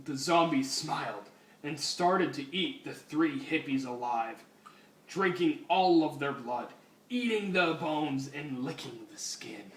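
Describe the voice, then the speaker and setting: Slow, deliberate male story reader. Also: a little high, expressive, fairly bright tone. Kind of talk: dramatic reading of fan fiction. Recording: roomy, distant mic, music behind.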